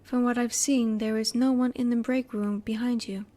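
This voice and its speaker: Girl doing a curious reserved alien lady, kinda high but mellow, super formal and measured, intimate roleplay audio. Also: fairly even intonation, natural voice. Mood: curious, polite. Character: curious, emotionally reserved female alien, politely clinical.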